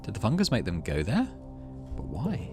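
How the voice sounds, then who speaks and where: A man's voice: fairly low pitch, huge pitch swings, moderate pace, over music. A man hosting a gaming video, reading story text aloud and adding muttered asides as he plays.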